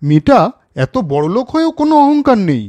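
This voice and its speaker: A man voicing a caring, principled older brother in a children's cartoon story, questioning and gently lecturing. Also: very high voice, big pitch swings, brisk. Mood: affectionate.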